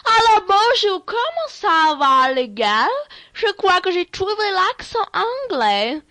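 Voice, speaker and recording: A woman's voice: very high-pitched, very animated, slow pace, pushed and bright, no room sound, clean studio sound. A woman playing a silly radio host with fake-formal announcer manners in an improvised sketch.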